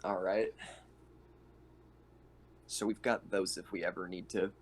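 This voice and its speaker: A man's voice: low voice, little pitch movement, measured pace. A man playing a tabletop game, chatting casually about rules and gear.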